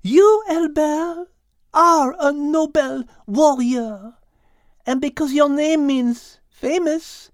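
A woman's voice: high voice, slow pace, no room sound, studio quality, pitched above the performer's own voice. A woman voicing a sweet, gracious female cat, speaking in a gushing, sing-song way. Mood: joyful.

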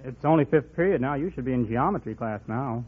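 A man's voice: medium pitch, lively intonation, fast talker, narrow, tinny audio. A man answering earnestly as a contestant on an old, noisy radio quiz show. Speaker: quiz contestant, school attendance officer.

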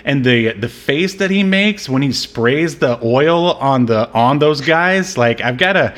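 A man's voice: medium pitch, lively intonation, measured pace, fairly bright tone. A man co-hosting a film podcast, chatting casually in a hesitant, rambling, joking way. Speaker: podcast co-host reviewing a film. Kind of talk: casual film-review chat.

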